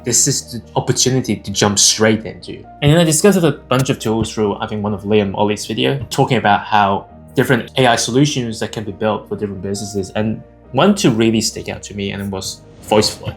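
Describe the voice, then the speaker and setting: Young man from Hong Kong, guitar behind. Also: on the low side. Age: 20s. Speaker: video creator and AI agency founder. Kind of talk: personal storytelling to camera. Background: acoustic guitar music.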